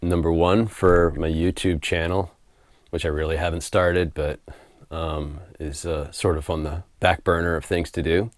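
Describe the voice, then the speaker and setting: A middle-aged man with a hoarse voice from a head cold, talking to camera at home in a relaxed, rambling way, often repeating words as he gathers his thoughts and laughing softly now and then. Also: low voice, unhurried, no echo. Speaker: spiritual author and video host. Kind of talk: casual unscripted monologue to camera. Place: home room.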